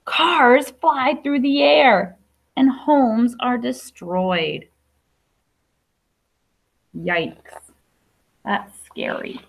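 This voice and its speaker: A woman's voice: on the high side, drawn-out words, vocal fry, pauses often. A young adult woman teacher reading a picture book aloud to young children, explaining simply with questions and asides. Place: classroom.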